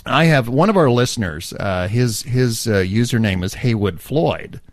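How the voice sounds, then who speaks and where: A man's voice: mid-range voice, lively intonation, normal speed, dry recording, clean studio sound. A man co-hosting a podcast in a casual chat, rambling and restarting his sentences as he tells a story. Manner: rambling, with many restarts and chuckles. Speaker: podcast co-host.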